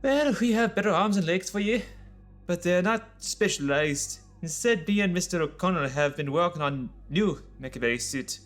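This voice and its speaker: A man's voice: high voice, lively intonation, normal speed, faint music behind. A man playing a courteous ship's doctor and inventor in an audio drama, speaking in precise, formal words. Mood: explaining.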